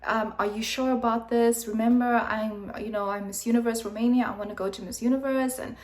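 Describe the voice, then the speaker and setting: A woman's voice: fairly high pitch, fairly even intonation, a little fast, a little mellow. A young woman from Zimbabwe who grew up in South Africa, giving frank, emphatic opinion commentary with many fillers. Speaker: vlogger. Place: room with background noise. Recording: echoey room, voice from a distance.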